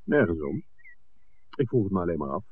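A man's voice: deep voice, very animated, brisk, a little hiss or hum. An actor playing a suspicious, stubborn man in a 1960s radio drama, probing and plain-spoken. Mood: wary. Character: suspicious, stubborn man scouting a film location. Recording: tinny, muffled 1960s radio recording.

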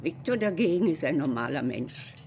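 An actress playing an outspoken grandmother in a German radio drama, with a blunt, animated manner. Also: deep voice, moderate pace, slight background noise. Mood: scolding. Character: outspoken Russian grandmother, a chemist, scolding her family.

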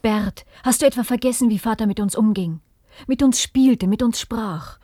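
A woman's voice: fairly high pitch, moderate pace, dark tone, no room sound, studio quality, lightly acted. An actress playing an anguished young daughter in a radio play, intense and pained as she pleads. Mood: pleading. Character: anguished young daughter defending her interned father.

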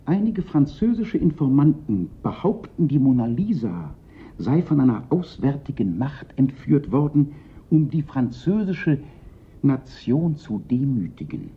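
An actor playing a fretful, self-pitying police prefect of Paris in a German radio play, theatrical and given to panicky lament. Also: male voice, medium pitch, sing-song, measured pace. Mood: suspicious, insistent. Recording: dull, lo-fi radio play recording.

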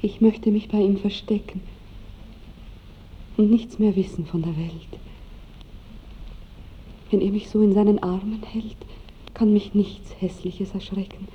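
An actress playing an innocent, trusting young bride in a 1960s radio drama, with breathless, pleading, lyrical delivery. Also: female voice, medium pitch, fairly even intonation, unhurried, long pauses, close to an everyday voice. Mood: rapturous. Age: young adult. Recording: dull old radio recording, faint hiss.

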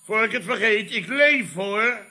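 A man's voice: high-pitched, expressive, a little slow, sharp tone, heavily acted. A man playing an indignant, blustering company boss in a radio comedy, sputtering and repeating himself in exasperation. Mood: indignant, flustered. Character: indignant, blustering male boss of a building firm. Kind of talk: 1970s Dutch radio comedy acting.

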